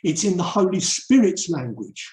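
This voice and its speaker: An older man from England giving a reflective webinar talk, earnest and emphatic, with deliberate pauses. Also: high-pitched, sing-song, a little mellow. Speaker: urban mission speaker and theologian. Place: indoor room, online webinar. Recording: roomy, echoey webinar audio.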